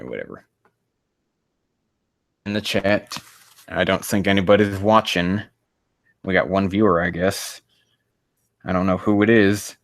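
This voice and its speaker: A man's voice: lowish voice, fairly bright tone, dry recording. A man on a casual livestream reading fan fiction aloud, breaking off into rambling, profane, exasperated commentary.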